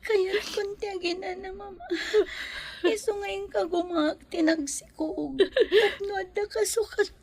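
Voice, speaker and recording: A woman acting a frail, loving and pleading young pregnant wife in an Ilocano radio drama, with a plaintive, creaky voice. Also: extremely high pitch, measured pace. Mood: tearful, pleading.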